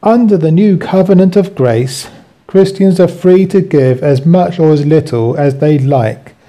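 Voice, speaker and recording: Guy reading out a scripted bible teaching, slightly high voice for a man, really soft dark tone, measured. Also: poor audio. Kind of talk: scripted religious teaching, read aloud.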